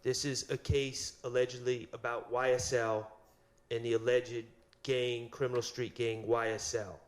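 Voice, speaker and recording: A man speaking as a defense attorney, making a measured, halting legal argument in court. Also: mid-range voice, little pitch movement.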